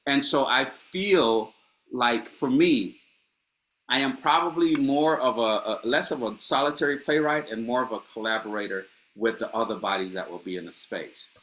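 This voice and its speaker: A man's voice: mid-range voice, normal speed, a bit bright. A middle-aged man from Honduras, a playwright speaking reflectively in a panel conversation, with many restarts and fillers.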